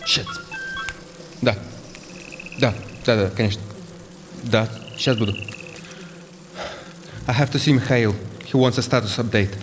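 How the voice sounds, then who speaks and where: An actor playing a curt, commanding Russian boss in an audio drama, giving terse orders. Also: male voice, medium pitch, a little slow, long pauses, over music, natural voice. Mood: tense, commanding. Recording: reverberant room with clear noise.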